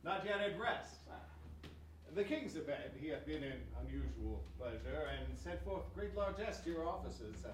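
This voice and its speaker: A man playing a weary, uneasy nobleman on night watch, speaking measured classical stage verse. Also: on the high side, expressive, lightly acted. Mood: courteous. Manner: measured, deliberate theatrical verse delivery. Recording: echoey room, distant mic, clear background noise.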